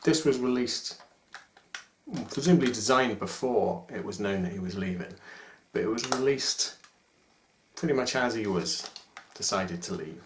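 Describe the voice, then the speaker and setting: A man in his forties chatting casually to camera as he presents collectible figures from a room at home. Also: lowish voice. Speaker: toy collector presenting a video. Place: small domestic room indoors. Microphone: camera microphone at about arm's length. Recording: echoey room with faint noise.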